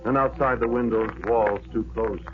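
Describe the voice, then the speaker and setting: A man's voice: medium pitch, steady tone, normal speed, close to an everyday voice. A man playing a haunted, lovelorn war veteran who narrates his weary life in a brooding, poetic style of 1940s radio drama. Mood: brooding, intense. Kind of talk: 1940s radio drama acting, intimate first-person narration. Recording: noisy, muffled old radio recording.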